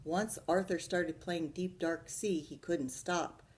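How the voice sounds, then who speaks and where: A woman's voice: on the low side, steady tone, slow. A woman librarian reading a children's story aloud, expressively, putting questions to her young listeners.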